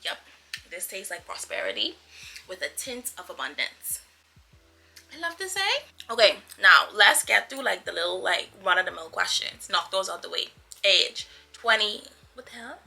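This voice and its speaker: A woman's voice: medium pitch, big pitch swings, normal speed, creaky, bright, forceful tone, breathy edge, soft background music, low-quality recording. A woman of twenty-seven from the Bahamas chatting casually to her viewers as a YouTuber, playful, effusive and slangy. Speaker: YouTuber, vlogger.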